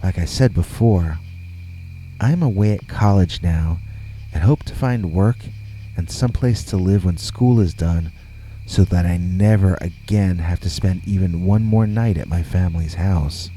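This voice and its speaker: A man reading a scripted first-person scary story in a measured, deliberate way, with pauses between phrases. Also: low voice, dark tone, soft background music, poor audio. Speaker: horror story narrator.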